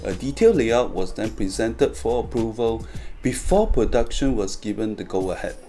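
A man's voice: lowish voice, expressive, normal speed, mellow. A man reading a scripted promotional narration as the presenter of a company video. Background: background music.

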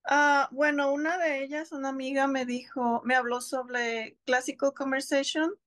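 A woman's voice: sits high, level tone, normal speed, fairly bright tone, no room sound, studio quality. A woman in her thirties from Mexico, a homeschooling mother speaking on a video call, thoughtful and hesitant, often restarting her words. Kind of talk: casual interview conversation. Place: home, seated on a couch.

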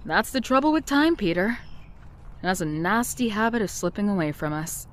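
Woman doing a wry, wistful young narrator with a lively, animated pitch. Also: moderate pace, natural voice. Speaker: narrator of her own past. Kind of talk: comic dub narration.

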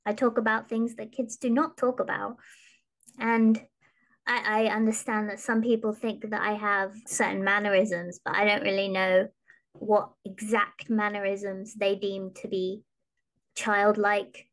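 A young woman with a high voice, chatting casually as a podcast guest. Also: flat delivery, measured pace, fairly bright tone. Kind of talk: casual chat. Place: small room at home, video call.

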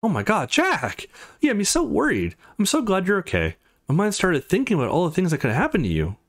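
Man reading as an emotional, worried young woman, swooping pitch. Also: wildly swooping pitch, fairly quick, heavily acted. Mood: relieved, gushing. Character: worried, emotional, teasing young women texting a male friend.

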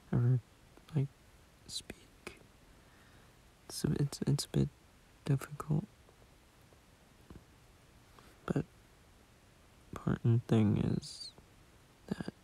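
A man playing a gentle, shy, doting young boyfriend in a sleep-aid audio roleplay, murmuring softly and hesitantly close to a phone mic. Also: mid-range voice, heavily acted, rough recording. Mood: tired, gentle. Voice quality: husky, soft, breathy murmur.

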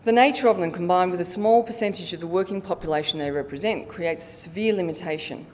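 A middle-aged woman giving a prepared formal conference presentation in a measured way, occasionally stumbling as she reads from her notes. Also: a little mellow. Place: conference hall stage, indoors. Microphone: lectern microphone. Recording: reverberant hall, audible low background rumble.

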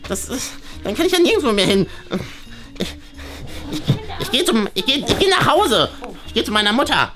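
A woman acts out an awkward, rule-abiding schoolboy in a children's play story. He stammers and hesitates through pedantic explanations, his pitch swinging in an animated way. Faint music plays along. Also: moderate pace. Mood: upset, defiant.

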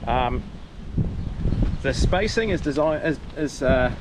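A laid-back New farmer in his thirties walks through his machinery in an easygoing, unpolished way. Heavy wind and engine rumble sit under his voice. It comes from a body-worn action camera outdoors. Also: slow. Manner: relaxed, plain-spoken, lots of ums and uhs. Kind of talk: casual farm vlog walkthrough. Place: outdoors on a farm and in a tractor cab. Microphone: action camera on the body or close at hand. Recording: loud wind and engine noise.

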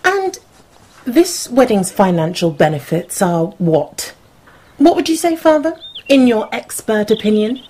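An actress playing a defiant, sardonic young bride-to-be, pointed and sarcastic in a radio drama. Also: female voice, fairly high pitch, big pitch swings, measured pace, dark tone, a little hiss or hum. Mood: cutting.

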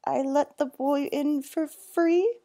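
A woman's voice: high voice, steady tone, takes it slowly, mellow, voice pushed up. A woman voicing a nervous, kindly old male zookeeper in a storybook, hesitant and apologetic.

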